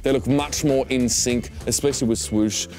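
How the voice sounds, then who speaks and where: A man's voice: medium pitch, fairly even intonation, normal speed, darkish tone, soft background music. A man giving casual esports panel commentary, with playful storytelling, as a host and analyst.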